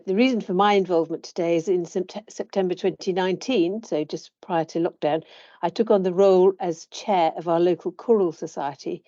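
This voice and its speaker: Low, mellow-voiced woman guest. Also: dry recording, lo-fi sound. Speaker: guest, choral society chair. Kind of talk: prepared self-introduction.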